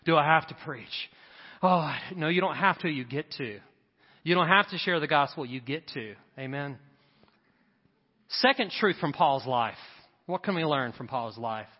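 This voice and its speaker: A man's voice: on the high side, lively intonation, unhurried. A man preaching a sermon to a congregation, emphatic and repeating his key lines for effect. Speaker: pastor. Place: church sanctuary. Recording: reverberant hall, dull sound. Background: church congregation.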